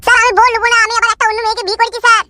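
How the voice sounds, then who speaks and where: A performer voicing a cowardly, henpecked village husband in a cartoon who whines and stammers. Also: male voice, very high-pitched, level tone, rapid-fire, bright, forceful tone, exaggerated voice. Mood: whiny. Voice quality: whiny, stammering.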